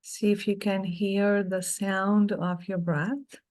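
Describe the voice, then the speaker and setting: A middle-aged woman leading a guided meditation, speaking softly and soothingly with long pauses between phrases. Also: mid-range voice, level tone.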